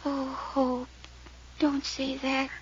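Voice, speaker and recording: An actress playing a frightened, pleading, devoted young sister in 1940s radio melodrama. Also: female voice, high-pitched, completely flat delivery, extremely slow. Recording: dull, faintly noisy old radio recording.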